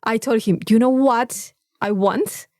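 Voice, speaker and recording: High-voiced Mexican woman chatting casually. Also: unhurried, no echo, studio quality. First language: Spanish. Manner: animated storytelling with frequent swearing. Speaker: podcast co-host. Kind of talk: casual chat, telling an anecdote.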